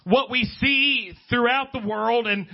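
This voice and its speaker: A middle-aged American man preaching as a pastor, in emphatic, deliberate phrases with pauses. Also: extremely high pitch, vocal fry. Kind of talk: preaching a sermon to a congregation, reading scripture. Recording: dull, lo-fi sermon recording.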